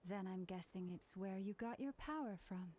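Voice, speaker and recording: An actress as a gentle, mellow, composed young woman. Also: mid-range voice, normal speed, slight background noise, lightly acted. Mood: calm, curious. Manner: calm, polite, soothing. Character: gentle, composed, soft-spoken young female companion. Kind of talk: video game voice acting.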